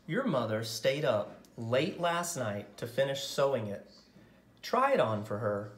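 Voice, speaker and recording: A man voicing a gentle, tender father comforting his daughter in a picture book. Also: mid-range voice, big pitch swings, measured pace, echoey room.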